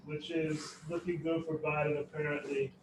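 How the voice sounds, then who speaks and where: A man's voice: on the high side, little pitch movement, moderate pace, reverberant, far from the mic, a crowd in the background, rough recording. A man hosting a casual election-night stream from a house party, chatty and explaining things to the viewers at home. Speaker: host.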